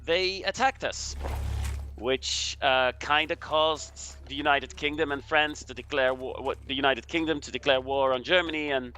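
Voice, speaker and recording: A man from Belgium chatting casually as a guest commentator, explaining things in a halting, hesitant way with repeated words. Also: mid-range voice, pushed and bright, a little hiss or hum.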